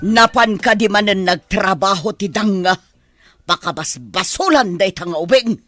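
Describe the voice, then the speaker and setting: An actor playing an irritable, blame-shifting scold in an Ilocano radio drama, complaining sharply with an Ilocano accent. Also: male voice, high voice, moderate pace, fairly bright tone, dry recording, soft background music. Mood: indignant.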